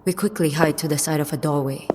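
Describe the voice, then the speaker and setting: A woman playing a cool, focused young infiltrator with secret powers, narrating an audio drama in a measured, matter-of-fact way. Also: low voice, noisy background, close to an everyday voice. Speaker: narrator and lead character.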